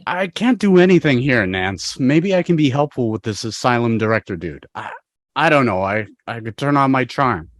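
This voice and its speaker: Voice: medium pitch, lively intonation, normal speed, no echo, clean studio sound, lightly acted. An actor playing an exasperated, reluctant young man who protests and stammers, in a fan table read over a video call. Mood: defensive, unsure. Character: exasperated, reluctant young male babysitter, defensive and flustered.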